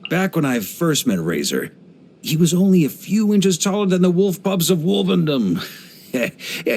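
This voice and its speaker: Hearty veteran knight leader, jovial, lively swooping intonation, mid-range voice, conversational game dialogue. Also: male voice, mid-range voice. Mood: amused, fond. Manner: jovial, expressive, playful, chuckling asides. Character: hearty, easygoing veteran knight grandmaster, adult man. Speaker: video game character voice actor. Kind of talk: conversational video game character monologue.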